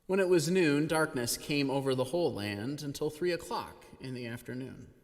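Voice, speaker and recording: A man reads scripture at a church service in a mid-pitched voice. His delivery is dramatic and expressive, leaning into the weight of the text. The sound rings with long hall reverb. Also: normal speed. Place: church sanctuary.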